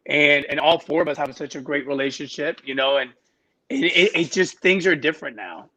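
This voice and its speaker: A man's voice: on the high side, normal speed, sharp tone, poor audio. A middle-aged man from Miami, a podcast guest telling his life story in casual talk, often restarting his phrases. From Miami, United States.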